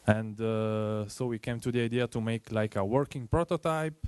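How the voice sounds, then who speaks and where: A man with an Italian accent presenting at a tech meetup. His voice is smooth and resonant, recorded close and dry, and he speaks earnestly, often pausing on fillers. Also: lowish voice, fairly even intonation, darkish tone, no echo. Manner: earnest, halting, many fillers.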